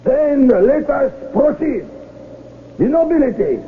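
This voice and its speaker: A ceremonious military colonel with a strikingly high voice and slow, stately delivery. Every phrase is formal and solemn, in old radio drama fashion. The sound is thin and tinny with faint noise. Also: male voice, very animated, exaggerated voice. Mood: solemn, ceremonial. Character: solemn, ceremonious colonel presiding over a coronation. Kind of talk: 1970s radio drama acting.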